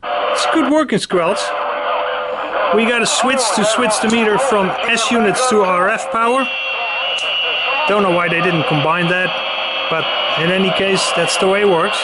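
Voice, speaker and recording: Male demonstrator, high-pitched voice, casual and matter-of-fact explainer, with clear radio hiss behind him. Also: normal speed. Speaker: presenter demonstrating vintage radio gear. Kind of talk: casual hands-on product demonstration. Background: CB radio noise and chatter.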